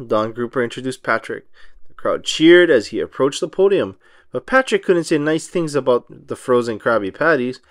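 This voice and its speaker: A man reading a children's story aloud in an expressive narrating style, acting out the dialogue as he goes. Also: medium pitch, no room sound, clean studio sound. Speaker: storybook reader.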